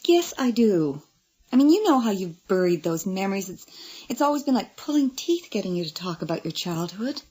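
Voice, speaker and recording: Worried american wife in a radio play, lively up and down voice, bit breathy, coaxing. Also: female voice, mid-range voice, fairly quick, low-quality recording. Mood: concerned, probing. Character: concerned wife and mother, practical, gently insistent. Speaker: actor in radio drama. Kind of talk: naturalistic radio drama acting.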